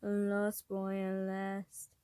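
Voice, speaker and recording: A woman voicing a shy, gentle man in love from a story, soft and hesitant. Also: mid-range voice, completely flat delivery, takes it slowly, clear, resonant tone, no room sound, pitched below the performer's own voice, lightly acted. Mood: soft singing.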